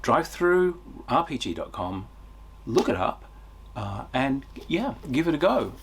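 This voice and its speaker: A man giving an unscripted, enthusiastic game review in a conversational way, with emphatic stresses and a husky voice. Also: mid-range voice, sing-song, measured pace, slight background noise. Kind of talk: unscripted review talk to viewers.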